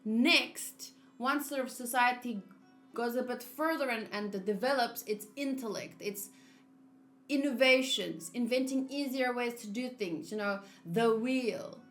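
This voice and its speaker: Female streamer: casual, halting, musing commentary, highish voice, roomy sound with faint music behind. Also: fairly bright tone. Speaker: game streamer.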